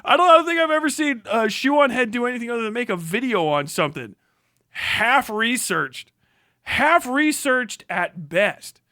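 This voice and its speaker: A man's voice: very high voice, expressive, moderate pace, pushed and bright, no echo, studio quality. A man live streaming profane political commentary, mocking and sarcastic, swearing heavily in a combative way. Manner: heavy swearing. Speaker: live streamer reacting to a video.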